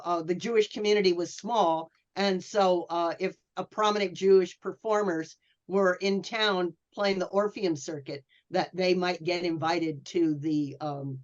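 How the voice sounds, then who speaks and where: A woman talking as a panelist in a casual history discussion, with a hesitant, halting delivery. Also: lowish voice. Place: remote call for a virtual convention. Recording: dull lo-fi sound.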